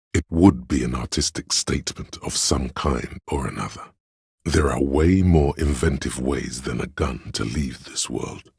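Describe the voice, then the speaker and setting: Game narrator, a wry, arty inner voice: extremely low and heavily husky, droll, pompous, measured. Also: male voice, no room sound, clean studio sound, full character voice. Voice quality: very deep, gravelly, husky. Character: male inner voice of conceptual, artistic thought, wry and pretentious.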